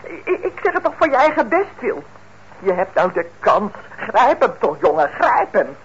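A bitter, manipulative mother in an old radio play. She nags and pleads in a high voice that swings widely. The recording is dull with some noise. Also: big pitch swings, moderate pace. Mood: pleading, insistent. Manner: nagging, wheedling, exclamatory.

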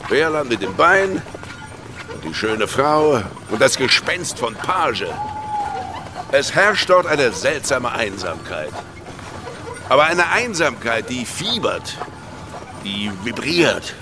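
A man's voice: medium pitch, very animated, slow, pauses often. An actor playing a worldly, mocking baron in a radio drama, savouring his words with theatrical exclamations. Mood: musing, intense.

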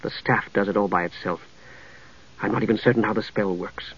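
A man voicing a young mage, quiet and bitter, with a cool, restrained delivery in a fantasy audiobook. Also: mid-range voice, lively intonation, a little fast, husky, lo-fi sound.

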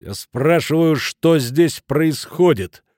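A man voicing a stern, commanding man with a resolute booming voice in an audiobook. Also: animated, slow pace, a little mellow, no room sound, clean studio sound.

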